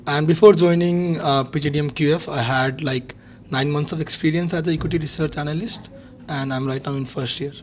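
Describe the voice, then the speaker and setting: Nepali student guy in his 20s, talks fast, slightly high voice, mixes hindi words in. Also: steady tone, a little hiss or hum. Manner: quick, mixes in Hindi phrases. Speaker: student guest.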